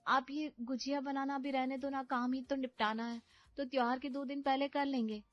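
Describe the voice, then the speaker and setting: A woman's voice: high voice, little pitch movement, moderate pace, dry recording, highs cut off, voice pushed up, lightly acted. A woman voicing a cranky young bride who hates festivals, in a snappish, complaining manner. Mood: dismissive. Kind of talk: cartoon storybook voice acting.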